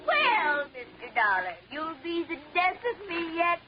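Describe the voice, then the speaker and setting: An actress playing a frantic, cackling woman of eighty-two in melodramatic 1940s radio style, with drawn-out wailing delivery. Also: very high-pitched, very animated, heavily acted. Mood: gloating, menacing. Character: frantic, cackling 82-year-old murderous aunt. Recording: noisy, muffled 1940s radio recording.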